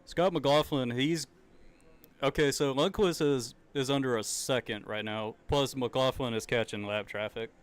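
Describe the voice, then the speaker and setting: Southern US male streamer, young adult, laid-back, chatty race commentary, smooth clear voice, mid pitch. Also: a little slow. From American South. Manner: chatty, loose, laughs often, says dude and like. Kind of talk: casual live reaction commentary.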